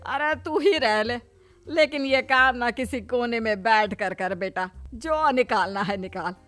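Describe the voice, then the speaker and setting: A woman playing a scolding, sarcastic older mother-in-law in an animated comedy story, with exaggerated delivery. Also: a little high, unhurried, faint music behind. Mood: disgusted, sarcastic.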